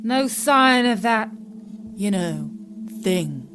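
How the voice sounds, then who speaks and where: A woman's voice: medium pitch, expressive, fairly bright tone, a little hiss or hum. A woman playing a superstitious, uneasy male palace guard in a children's story, with dramatic, old-fashioned delivery. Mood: wary. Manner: slow, dramatic, mock-Shakespearean.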